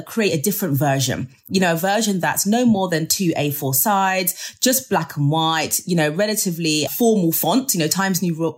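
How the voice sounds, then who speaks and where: Low husky woman giving advice. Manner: measured, with many "you know" fillers. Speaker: legal recruitment guest.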